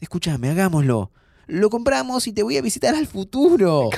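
An actor playing a playful, impulsive young man with an Argentine accent in an informal, expressive audio drama. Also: high voice, normal speed, gravelly, no room sound, studio quality, exaggerated voice. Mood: excited, urgent. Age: young adult. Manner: informal, expressive, breaks into restarts. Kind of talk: naturalistic audio fiction dialogue.

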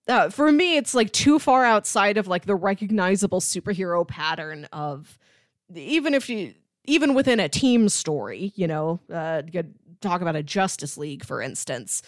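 A woman's voice: medium pitch, big pitch swings, normal speed, dry recording, clean studio sound. A woman co-hosting a podcast, discussing films in a casual, analytical, conversational way with asides. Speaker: podcast co-host debating films.

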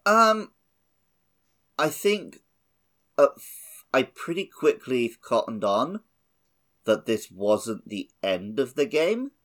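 A man's voice: mid-range voice, big pitch swings, takes it slowly, long pauses, a bit bright. A man co-hosting a casual gaming podcast, jokey and given to acting out imagined quotes. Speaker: podcast co-host.

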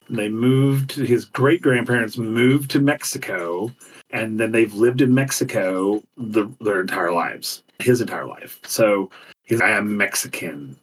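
Husky man talking from off the mic. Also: fairly low pitch, measured pace, recorded from a distance, low-quality recording. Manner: animated, theatrical, laughs often. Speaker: podcast co-host. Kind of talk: casual chat.

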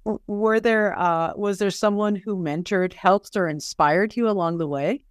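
A woman's voice: medium pitch, a little slow, clear, resonant tone, dry recording, studio quality. A woman hosting a podcast interview, introducing and putting prepared questions to a guest in a conversational way.

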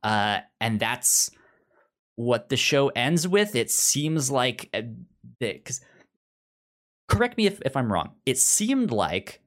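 A man with a medium-pitched voice talks as a podcast co-host in a casual review discussion. He is halting, often doubling back on words and restarting as he goes.

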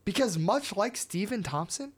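A woman's voice: mid-range voice, expressive, moderate pace. A woman from the United States co-hosting a sports podcast, chatty and playfully teasing her co-host. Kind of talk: casual sports podcast chat.

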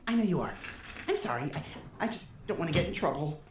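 Woman playing a low-voiced, persuasive kid, echoey. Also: very animated, fast, slight background noise. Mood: defensive. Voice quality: sounds older than a child. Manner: coaxing, insistent. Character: persuasive, impatient kid trapped and scheming. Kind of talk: audio drama acting. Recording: roomy, echoing sound.

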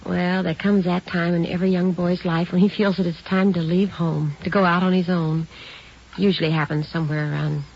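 A woman's voice: on the low side, flat delivery, brisk, creaky, husky. An actress playing a worried but composed mother in her forties in an old radio drama, on a dull, hissy recording. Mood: calm. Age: 40s. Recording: dull vintage radio recording with faint hiss.

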